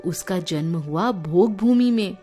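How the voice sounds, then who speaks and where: A woman's voice: lowish voice, expressive, unhurried, warm tone. A woman with an Indian accent telling moral stories to children in an explanatory, teacherly way. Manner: explanatory, asks the listeners questions and glosses terms. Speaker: storyteller for children. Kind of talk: animated moral storytelling for children. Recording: music behind the voice. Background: music.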